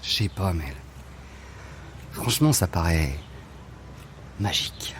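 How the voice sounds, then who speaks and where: A man's voice: sits low, animated, slow, fairly bright tone, heavily acted. Fed-up athlete dreaming of escape played by a man. Colloquial, insistent, naturalistic audio fiction, faint ambient noise. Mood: hesitant, wistful. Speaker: actor in an audio drama.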